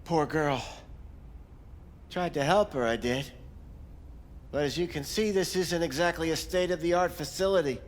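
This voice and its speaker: An actor voicing a sleazy back-alley cyber surgeon in a video game, smarmy and florid, with drawn-out flattering talk. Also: male voice, on the high side, a little hiss or hum, natural voice. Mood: defensive. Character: sleazy, oily male back-alley cyber surgeon, leering and self-pitying. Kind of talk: video game character acting.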